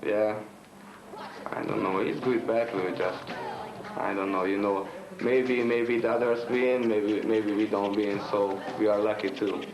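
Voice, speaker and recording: A man from Hungary, a Hungarian speaker, talking in halting broken English in a casual interview. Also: lowish voice, steady tone. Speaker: b-boy crew member. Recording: echoey room with music behind.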